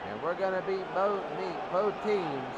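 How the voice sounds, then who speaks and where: Noisy amateur recording, male football commentator, slow halting delivery, slightly high pitch, reading statistics. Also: lively intonation, lo-fi sound. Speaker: sports commentator. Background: loud background noise.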